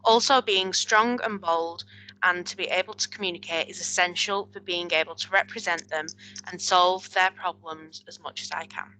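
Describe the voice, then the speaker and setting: Bright, brisk woman moderating a video call. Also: lowish voice, fairly even intonation, slight background noise, poor audio. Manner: brisk and polite, thanks candidates. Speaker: hustings moderator. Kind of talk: moderating an online candidate Q&A.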